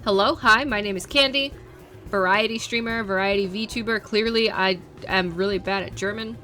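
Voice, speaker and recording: A woman's voice: mid-range voice, normal speed, sharp tone, soft background music. A woman variety streamer chatting casually with her live audience, playful and self-deprecating. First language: English.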